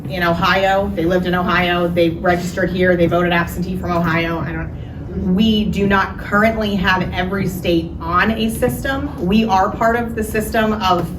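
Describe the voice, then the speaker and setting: A woman's voice: fairly low pitch, steady tone. A woman serving as a county elections director, answering audience questions in an explanatory way and often checking in with "okay?". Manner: explanatory, quick, with frequent "so" and "okay?". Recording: distant roomy mic with noise.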